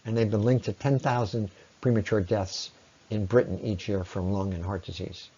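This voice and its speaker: A man giving an explanatory slide presentation as a lecturer, often pausing mid-sentence as he explains. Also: lowish voice, fairly even intonation, mellow, husky, lo-fi sound.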